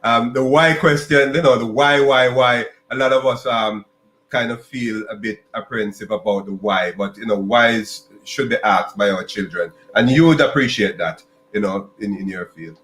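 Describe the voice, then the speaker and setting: A man's voice: lowish voice, moderate pace, fairly bright tone, reverberant, slight background noise, low-quality recording. A man from Jamaica, hosting a podcast interview and asking long, rambling questions with many restarts and repeated words. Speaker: podcast host.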